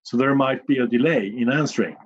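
A man from Sweden with a Stockholm accent, a non-native English speaker answering interview questions in a measured, plain-spoken way with small slips. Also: medium pitch, husky, muffled recording. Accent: Stockholm Swedish. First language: Swedish. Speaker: guest, communications manager.

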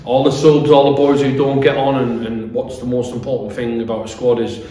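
A man's voice: medium pitch, little pitch movement, brisk, warm tone. A British man in his thirties, a football manager giving a post-match interview, repeating words as he finds his phrasing. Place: small bare-walled room indoors. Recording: echoey room, distant mic, faint noise.

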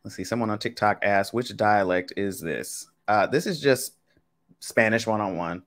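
A low-pitched young man running a live-streamed language quiz from his home setup. He speaks in a loose, chatty way, addressing the chat directly, with a somewhat deep voice. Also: moderate pace, fairly bright tone. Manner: casual, chatty, talks to chat with y'all. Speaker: Spanish teacher. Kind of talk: live-streamed game lesson.

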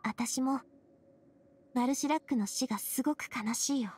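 An actress playing a gentle, earnest young lady who leads others, in a Japanese video game. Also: high voice, normal speed, a little hiss or hum, natural voice. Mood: gentle, sad.

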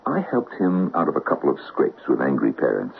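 A man's voice: extremely low pitch, wildly swooping pitch, brisk, creaky, narrow, tinny audio, exaggerated voice. An actor playing a thoughtful, probing middle-aged father in a 1970s radio drama. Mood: pensive. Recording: thin, noisy 1970s radio broadcast.

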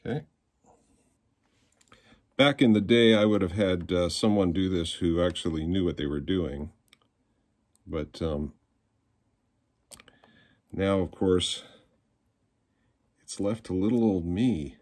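A man, explaining things in a casual, halting vlog style at home. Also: low voice, a little mellow. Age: 60s. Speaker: musician and presenter. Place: small rooms in a home.

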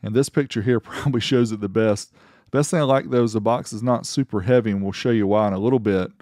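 A man's voice: fairly low pitch, quick pace, darkish tone, no room sound, clean studio sound. Middle-aged man reviewing car audio gear. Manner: chatty, explains specs step by step. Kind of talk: casual product review. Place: outdoors on a driveway, later inside a vehicle.

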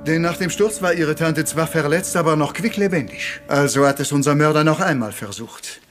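Guy acting as little detective, highish voice, polite and theatrical, music underneath, old crime radio play. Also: lightly acted. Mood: dramatic, explanatory. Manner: courteous, deliberate, peppering speech with French words. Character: polite, theatrical little Belgian detective, patient and self-assured.

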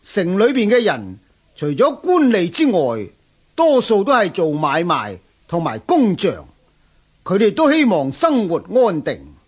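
A man's voice: high-pitched, huge pitch swings, measured pace, breathy edge, exaggerated voice. An actor playing a respectful, earnest Chinese general advising his lord in a radio drama, with a Hong Kong Cantonese accent. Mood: earnest, persuasive. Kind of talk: historical radio drama acting.

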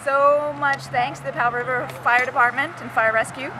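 Upbeat high-voiced woman outdoors. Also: fairly even intonation, fairly quick. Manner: upbeat, chatty, with fillers. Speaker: radio station manager. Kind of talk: casual street interview. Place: outdoors at a sunny parking lot car wash. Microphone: handheld camera close to the face. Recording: outdoor traffic noise behind.

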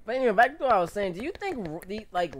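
A young adult man giving casual, jokey, slangy reaction commentary in an excitable way, often repeating himself. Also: high-pitched, very fast. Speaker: reaction video host. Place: small bedroom indoors. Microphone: desk microphone with pop filter.

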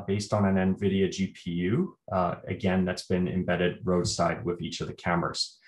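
Male keynote speaker, low register, measured technical delivery, echoey room. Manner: measured, technical, with frequent "uh" fillers. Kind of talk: academic research presentation.

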